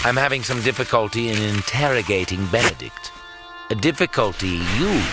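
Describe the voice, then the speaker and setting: Low, swooping, menacing villain. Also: male voice. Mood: coldly threatening. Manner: smugly formal, deliberate. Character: menacing male villain interrogator, cruel, coldly mocking. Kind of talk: audio drama acting.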